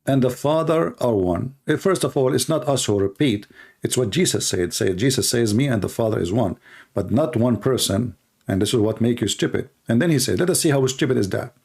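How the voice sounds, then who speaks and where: Middle eastern guy, native arabic speaker w arabic accent, raspy creaky voice, mocking host rambling live, kinda halting phrasing. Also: mid-range voice, a little mellow. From Middle East. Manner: sarcastic, mocking. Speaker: host, religious apologist. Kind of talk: live call-in broadcast, rambling informal commentary.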